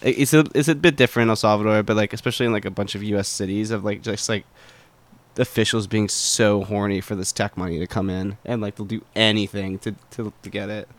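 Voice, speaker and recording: A man's voice: lowish voice, fairly even intonation, fast talker, no room sound. A man co-hosting a podcast, rambling in casual chat full of fillers. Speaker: podcast co-host.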